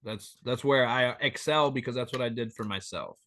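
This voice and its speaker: A man in his thirties joining a podcast over a remote call as a guest, talking in an animated, rambling way and swearing freely. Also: medium pitch, moderate pace, background noise. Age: 30s. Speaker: band member guest. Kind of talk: casual interview chat.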